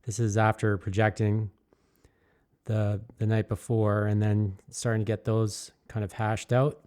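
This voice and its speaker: A man's voice: on the low side, flat delivery, unhurried, darkish tone, smooth. A man narrating his own work in an easygoing, rambling way, candid and enthusiastic, with many fillers and restarts. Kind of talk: casual reflective voice-over.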